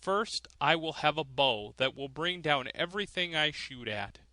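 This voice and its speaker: A man's voice: on the high side, expressive, normal speed, sharp tone, no echo. A man voicing a merry, cunning countryman in a fairy tale, with a hearty, bold manner. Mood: cheerful.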